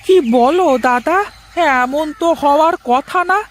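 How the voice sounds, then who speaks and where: A performer plays a village shopkeeper in a cartoon. The voice is pitched high, with a nagging edge. He chides and scolds like a creditor after his money. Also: measured pace. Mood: chiding. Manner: scolding, chiding. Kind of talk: cartoon voice acting.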